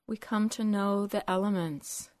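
A woman teaching a guided meditation in measured, short phrases separated by long pauses. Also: medium pitch, fairly even intonation, a little mellow.

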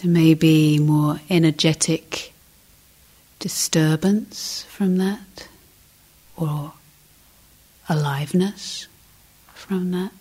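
A woman leading a guided meditation as a teacher, speaking softly and breathily in short, measured phrases with long pauses, often close to a whisper. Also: low voice, fairly even intonation, breathy edge.

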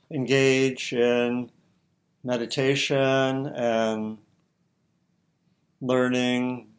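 A man teaching meditation, giving gentle guided instructions in halting, spaced-out short phrases. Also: mid-range voice.

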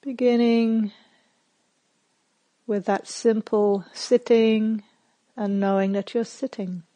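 A woman meditation teacher leading a guided meditation in a calm, gentle, measured manner with long pauses. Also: a little high, no echo.

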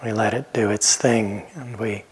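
A man teaching a guided meditation in a gentle, unhurried manner, leaving long pauses between phrases. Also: on the low side, steady tone, slightly rough.

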